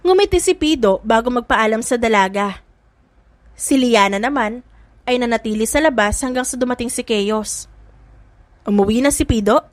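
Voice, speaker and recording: High-voiced woman narrating a dramatic story. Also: animated, normal speed, no room sound. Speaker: audiobook narrator.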